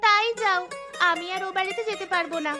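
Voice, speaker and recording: Woman acting a high-pitched, bossy village girl. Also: fairly even intonation, fairly quick. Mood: protesting. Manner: bossy, protesting. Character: bold, cheeky, bossy village girl, elder sister. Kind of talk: cartoon story dialogue. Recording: music behind the voice.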